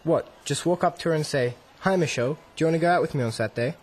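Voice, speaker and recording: A man's voice: a little high, very fast, natural voice. An actor playing a nervous, lovestruck teenage boy in a radio drama, asking anxious, eager questions. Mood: anxious, doubtful. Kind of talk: radio drama acting for English learners.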